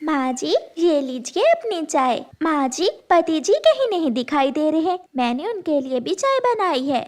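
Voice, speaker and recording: A woman's voice: sits high, sing-song, a little slow, hardly any pauses. A woman voicing a meek, mistreated young bride bird in a cartoon, speaking politely and plaintively. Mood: meek, apologetic.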